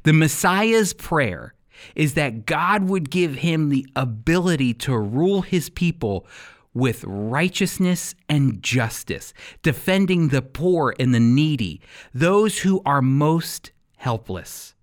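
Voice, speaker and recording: American male Bible teacher, slightly high register, very lively intonation, emphatic and deliberate sermon delivery. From United States. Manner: emphatic, deliberate phrasing with rhetorical questions. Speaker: Bible teacher and radio program host. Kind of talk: sermon-style Bible teaching with scripture reading.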